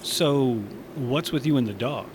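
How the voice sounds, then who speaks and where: Man playing a wry, mid-pitched space barkeeper. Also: a little slow, a little hiss or hum, close to an everyday voice. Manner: dry, sardonic understatement. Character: wry, easygoing male space station barkeeper. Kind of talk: sci-fi comedy audio drama acting.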